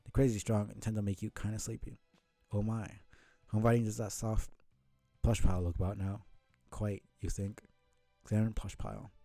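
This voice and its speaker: A man streaming a casual read-aloud of a comic, with halting, muttered asides. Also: lowish voice, level tone, gravelly, warm tone, no echo, soft background music. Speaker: streamer reading a webcomic aloud.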